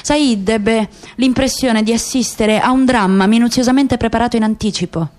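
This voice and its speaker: Female actor, fairly high voice, dramatic literary narration, scripted radio theatre. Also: moderate pace, a little mellow. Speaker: actress narrating a radio play.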